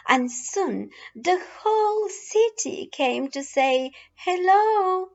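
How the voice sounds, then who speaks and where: Very slow-paced woman reading a storybook. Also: on the high side. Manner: deliberate, dramatic pauses and drawn-out repeated phrases. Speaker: storyteller reading a children's picture book. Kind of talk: slow expressive storybook narration. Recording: dull lo-fi recording with music behind. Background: music.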